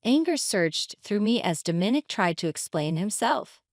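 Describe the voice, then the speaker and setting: A woman's voice: mid-range voice, very animated, moderate pace, dry recording, studio quality. A woman reading a scripted, dramatic first-person story as its narrator.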